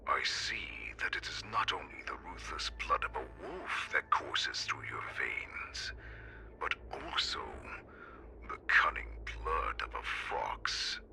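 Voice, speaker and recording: An actor playing a menacing, bloodthirsty wolfish warlord in a video game, sly and theatrical, with a breathy growl. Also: male voice, rumbling low, big pitch swings, in a whisper, full character voice. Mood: sly mocking. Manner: slow, theatrical, mocking. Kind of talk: dramatic game villain acting. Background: prominent game music.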